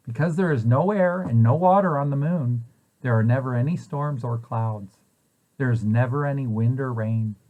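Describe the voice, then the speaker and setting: A man's voice: mid-range voice, narrow, tinny audio. A man reading a children's picture book aloud, posing questions and answers in a storytelling way for young listeners. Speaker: preschool site director reading aloud.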